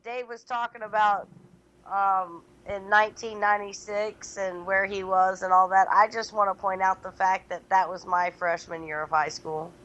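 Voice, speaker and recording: A thirty-one-year-old woman speaks with a bright, clear-edged voice, making teasing remarks at an unhurried pace with the odd um. Her line sounds dull and lo-fi. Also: mid-range voice, flat delivery. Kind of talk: casual podcast banter.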